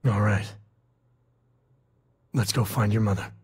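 Wry protective cowboy dad in a space game, really raspy rough voice, folksy. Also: male voice, on the low side, fairly even intonation, takes it slowly, full character voice. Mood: worried, stern. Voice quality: husky, rough-edged. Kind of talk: video game dialogue acting.

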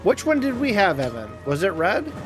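A man's voice: sits high, wildly swooping pitch, moderate pace. A man chatting casually with friends while playing a video game together. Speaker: gamer. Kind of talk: casual co-op gaming chat. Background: game music.

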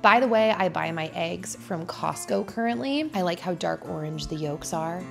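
Chatty woman with a gravelly fry. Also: lowish voice, lively intonation, moderate pace, faint music behind. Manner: chatty, laid-back, with casual fillers. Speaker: vlogger. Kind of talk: cooking vlog voice-over. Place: home, indoors.

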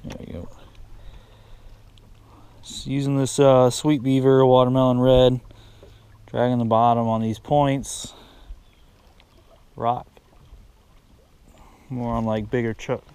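A man's voice: medium pitch, level tone, slow pace, pauses often, mellow, clear, resonant tone. A man filming himself fishing from a boat on a lake, chatting casually and explaining his tackle as he goes. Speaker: fishing vlogger. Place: bass boat on an open lake outdoors. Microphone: head-mounted action camera. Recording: outdoor action-camera audio with wind and boat noise.

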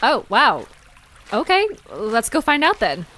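A woman's voice: a little high, wildly swooping pitch, slow, bright, forceful tone, a little hiss or hum, heavily acted, rough recording. A woman playing a curious, sensible female adventurer in an audio drama, speaking in an earnest, conversational way. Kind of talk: audio drama acting, natural dialogue.

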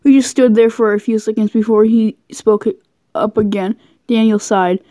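A woman's voice: fairly high pitch, steady tone, moderate pace, warm tone, no echo. A woman doing a casual, goofy read-aloud of fan fiction, giggly and often stumbling over her words. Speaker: amateur reader of fan fiction.

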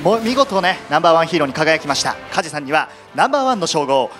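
Guy mc at a live event, high lively pitch, emphatic announcer delivery. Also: fast, over music. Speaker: stage event host. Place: cinema stage.

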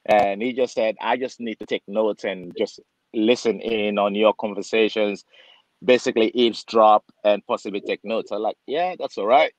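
A man's voice: lowish voice, steady tone, moderate pace, dry recording. A man from Nigeria who works as a barber, telling a story on a video call, chatty and acting out the voices of people in it. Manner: chatty, acts out dialogue, many fillers. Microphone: webcam on a video call. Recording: dull lo-fi video call audio.

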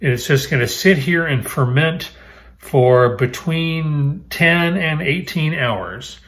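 A man's voice: a little high, slow pace. Man demonstrating bread in his kitchen. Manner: relaxed, explains each step as he works. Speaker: cooking show host. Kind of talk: casual cooking demonstration. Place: home kitchen, indoors.

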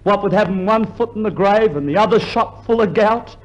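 A man's voice: sits high, a little fast, close to an everyday voice. An actor playing a gloomy old hypochondriac delivery man, complaining dolefully with an Australian accent in a 1950s radio comedy. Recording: muffled, faintly noisy 1950s radio recording.

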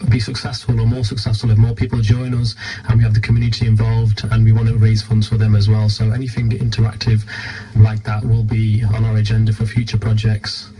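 A man's voice: lowish voice, completely flat delivery, a little fast, dark tone, breathy edge, low-quality recording. A British man giving an informal radio interview as a guest, explaining things earnestly. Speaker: charity team leader, radio interview guest.

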